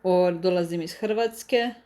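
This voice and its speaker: Slow, careful Croatian-accented woman teaching. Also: fairly low pitch, mellow. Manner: slow, careful explaining with restarts and repeated examples. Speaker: language teacher. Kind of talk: live online language lesson.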